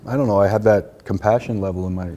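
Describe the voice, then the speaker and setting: Low, mellow-voiced man from New York. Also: steady tone, fast talker. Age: middle-aged. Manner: chatty, laughs easily, many fillers. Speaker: patient advocate guest. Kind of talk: casual interview. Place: conference room, indoors. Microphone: lapel.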